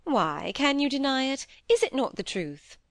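A woman's voice: high-pitched, very animated, moderate pace, dry recording, studio quality, pitched above the performer's own voice. A woman reading an audiobook, voicing a middle-aged wife who is fretful, wheedling and full of plaintive excuses. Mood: nervously playful. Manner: plaintive, pouting, evasive.

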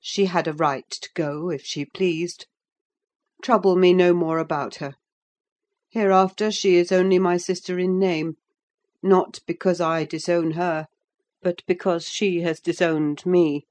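Woman as gentleman master, proud, formal diction, emphatic delivery, indignant, storybook reading. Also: fairly low pitch, steady tone, a little slow, natural voice. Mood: cold resignation. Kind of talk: dramatised audiobook character voice.

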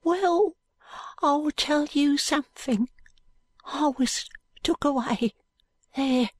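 Woman as a frightened, high-voiced Cockney street boy. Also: no room sound. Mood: apprehensive, hushed. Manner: hushed, halting, uneducated speech. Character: frightened, ragged London street boy, wretched and wary.

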